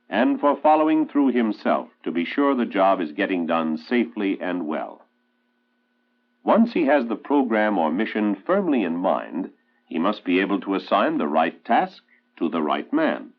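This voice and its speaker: Tinny, deep-voiced American film narrator, man. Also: very animated. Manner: authoritative, emphatic, measured oratory. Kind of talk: scripted 1960s instructional film narration. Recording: tinny band-limited 1960s film soundtrack, loud music. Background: loud musical score.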